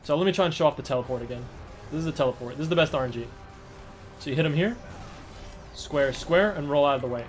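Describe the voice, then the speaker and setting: A chatty streamer man walks through a game fight in a loose, self-correcting way. His voice sits a bit high and sounds worn out. Faint background noise hangs under the mic. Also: quick pace, pauses often. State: tired voice. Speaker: speedrunner streamer. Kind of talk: casual gameplay tutorial.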